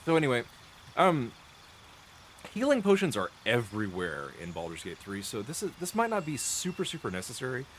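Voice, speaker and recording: Man, dry throat, coughs a lot, lowish voice, lively up-and-down delivery, rambling gameplay explainer, close mic, faint hiss. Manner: chatty, rambling, restarts and self-corrections, thinks aloud. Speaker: gameplay streamer. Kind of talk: explaining game mechanics while playing. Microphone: close desk mic.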